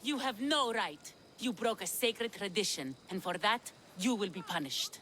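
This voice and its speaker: A stern cold priestess. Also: female voice, mid-range voice, expressive, normal speed, sharp tone, slight background noise. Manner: cold, commanding. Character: stern priestess presiding over the games.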